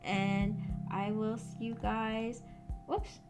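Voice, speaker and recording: A woman's voice: medium pitch, flat delivery, extremely slow. A young adult woman chatting casually on a livestream, rambling and thinking aloud as she answers her viewers. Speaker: streamer, host of a visual novel book club. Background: background music.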